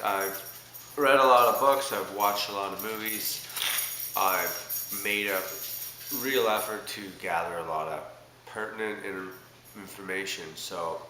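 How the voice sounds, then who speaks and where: A man from Canada with a stuffed-up cold, rambling hesitantly with many fillers in a casual video diary. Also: lowish voice. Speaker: vlogger. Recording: echoey room with loud background noise.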